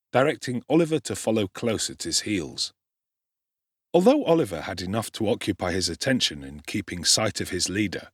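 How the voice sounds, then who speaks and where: A man with an English accent narrates classic fiction in a lowish voice. His delivery is measured and articulate yet richly varied in pitch. The recording is close and dry. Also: breathy edge, no room sound. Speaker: audiobook narrator, actor. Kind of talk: scripted literary narration.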